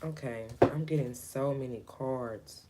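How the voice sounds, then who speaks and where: A woman giving a personal tarot reading in a low, light, calming voice, talking gently to spirit and to her client without a clip-on mic. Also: a little slow, very noisy. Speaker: tarot reader. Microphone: camera mic, no lapel mic.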